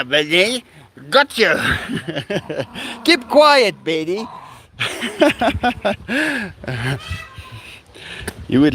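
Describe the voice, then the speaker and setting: A man's voice: high-pitched, fairly bright tone. A man from South Africa with an Afrikaans accent, chatting casually on his live stream, laughing often and playing with his dogs. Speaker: livestreamer.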